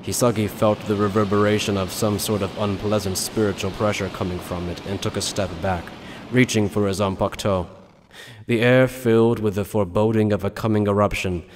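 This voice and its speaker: A man narrates a scripted story in a fairly low voice. His words carry a long reverb, as if spoken in a hall. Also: normal speed.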